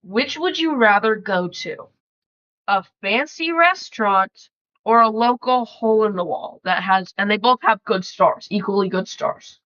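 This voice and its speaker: A woman's voice: medium pitch, unhurried, fairly bright tone, highs cut off. A woman reading out questions and chatting casually on a podcast. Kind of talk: casual question-and-answer chat.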